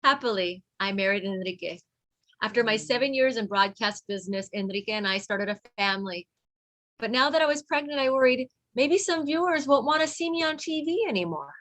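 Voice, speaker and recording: A woman's voice: on the high side, lively intonation, a little fast, a bit bright. A woman reading a children's picture book aloud, with teacherly emphasis for young listeners. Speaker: read-along co-reader.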